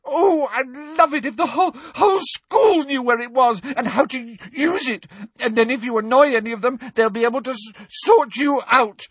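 A crying teen girl, way up high, choking and stammering on every other word, shrill and tearful, with a husky edge to the voice and no room around it. It sounds like an audiobook. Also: bright, forceful tone, dry recording, heavily acted. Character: sobbing teenage schoolgirl, frightened, stammering. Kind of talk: audiobook acting.

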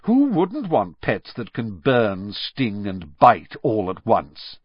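A man as schoolboy bully, storybook villain, cold, sarcastic, drawn-out, husky, swooping pitch. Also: wildly swooping pitch, dry recording, dull audio, exaggerated voice.

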